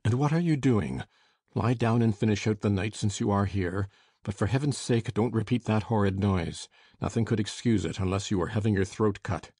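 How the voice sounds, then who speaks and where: Storybook master of the house, voiced by a man, low and husky, gruff and dark, irritable. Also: normal speed, dry recording, clean studio sound. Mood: angry. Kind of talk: audiobook character voice.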